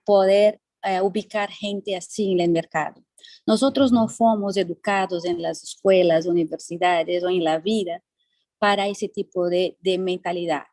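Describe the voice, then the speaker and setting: A woman's voice: mid-range voice, a little mellow, dry recording. A woman from Brazil with a Brazilian Portuguese accent, speaking as a panelist and often repeating words while she searches for them. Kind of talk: panel discussion.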